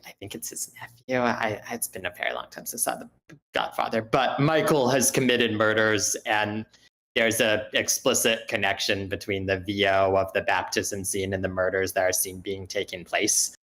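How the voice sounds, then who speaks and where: Male, fairly low register, thoughtful critique in a group meeting, tentative delivery that loops back to rephrase. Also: fairly even intonation, moderate pace, fairly bright tone. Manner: hesitant, circling back to rephrase points. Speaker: participant giving script feedback. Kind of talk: spoken critique in an online group discussion.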